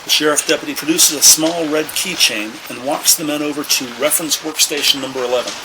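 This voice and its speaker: An actor narrating a police procedural audio drama as a measured, factual male storyteller. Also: fairly high pitch, background noise, close to an everyday voice.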